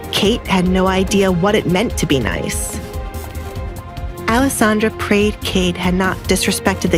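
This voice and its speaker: A woman reading scripted dramatic fiction as an audiobook narrator, with music behind her. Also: fairly low pitch.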